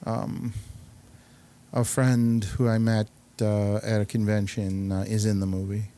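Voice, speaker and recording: A man's voice: on the low side, little pitch movement, a little slow, mellow. A man hosting a casual round-table chat about films and TV, giving his opinions as he leads the discussion. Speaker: show host.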